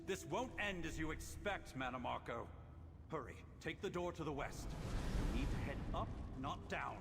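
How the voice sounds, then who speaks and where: An actor playing a stern, resolute mage ally in a fantasy video game, giving urgent commands. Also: male voice, a little high, lively intonation, moderate pace, vocal fry, noisy background. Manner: commanding, declarative. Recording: loud game music underneath. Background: loud music.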